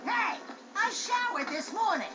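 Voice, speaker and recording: A very high, swooping little male cartoon animal. He is a cocky, excitable sidekick, whiny and full of sarcasm. Loud music plays behind the game dialogue. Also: measured pace, lo-fi sound. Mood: wisecracking. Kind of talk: comic game cutscene acting.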